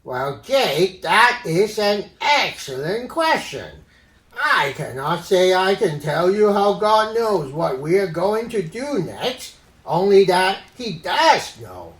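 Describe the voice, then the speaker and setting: Someone voicing an earnest orangutan puppet uncle, very expressive. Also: mid-range voice, slow pace, echoey room. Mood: earnest, teaching. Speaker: host of children's Bible story show. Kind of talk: children's puppet show presenting.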